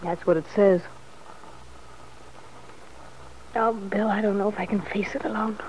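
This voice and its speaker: An actress playing a bitter, anxious young wife in a vintage radio soap, with a brittle, ironic, weary manner. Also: female voice, mid-range voice, fairly even intonation, brisk, darkish tone, lightly acted. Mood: resigned, anxious. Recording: faintly noisy old radio recording.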